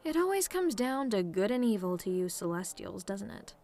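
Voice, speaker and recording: A woman's voice: mid-range voice, sing-song, moderate pace, darkish tone. A woman playing a sardonic, teasing tomboy demon in a scripted roleplay monologue, talking in a casual, taunting way straight to the listener. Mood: annoyed, cocky. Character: sardonic tomboy female demon, relaxed, teasing, secretly fond of simple pleasures.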